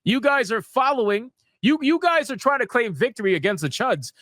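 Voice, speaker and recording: A man's voice: very high voice, lively intonation, a little fast, fairly bright tone, dry recording, clean studio sound. A man giving casual, sweary, slangy commentary as a livestream host, emphatic and mocking, repeating phrases for effect. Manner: sarcastic, mocking. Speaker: host of a gaming news livestream.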